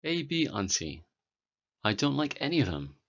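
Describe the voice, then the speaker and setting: A British man in his forties teaching a beginner English lesson, speaking clearly and deliberately for learners. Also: medium pitch, sing-song, a bit bright, lo-fi sound. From Britain. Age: 40s.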